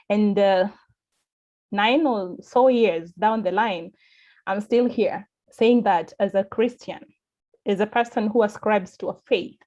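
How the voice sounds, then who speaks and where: A woman's voice: medium pitch, a little mellow. Earnest woman on a dull lo-fi line. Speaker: panel speaker. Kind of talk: personal testimony at a panel. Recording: dull lo-fi sound.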